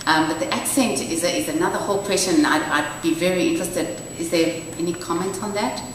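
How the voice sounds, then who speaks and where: Lady speaker doing q&a, lowish voice, far off in a big echoey hall. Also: brisk. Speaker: keynote speaker answering audience questions. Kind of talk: conference Q&A. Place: university lecture hall. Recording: distant echoey hall mic, audible noise.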